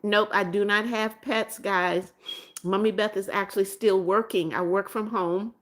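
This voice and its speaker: A seventy-year-old woman hosting a relaxed livestream, reading viewers' comments aloud and chatting back to each one. Her voice sits at an ordinary middle pitch. She repeats phrases, refers to herself by a motherly nickname, and fills gaps with ums and small restarts. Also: measured pace. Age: 70s. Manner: calls herself Mommy Beth and repeats phrases. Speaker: livestream host. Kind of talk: casual live-stream chat.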